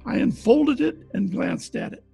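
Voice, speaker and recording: A man playing a cynical, tough-talking private detective in a wry, deadpan 1940s radio style. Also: extremely high pitch, normal speed, creaky, heavily acted. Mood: wry, world-weary. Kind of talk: 1940s detective radio acting, narration and dialogue. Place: home, recorded over video call. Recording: video call recording with faint music behind.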